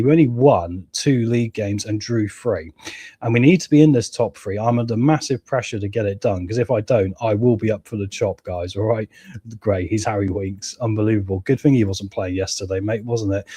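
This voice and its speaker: A man with a cold and a hoarse voice, chatting casually to viewers as he plays a football management game and reads out live chat. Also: lowish voice, warm tone, no room sound. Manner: chatty, keeps addressing viewers as guys and mate. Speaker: gaming channel host.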